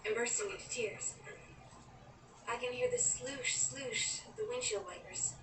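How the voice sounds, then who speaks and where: A woman's voice: fairly high pitch, unhurried, close to an everyday voice. An actress playing a wistful, dreamy young woman who tells her own story in an intimate, girlish way in an audio drama. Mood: reflective narration. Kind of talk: audio drama acting with first-person narration. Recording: roomy, distant, noisy copy of audio drama.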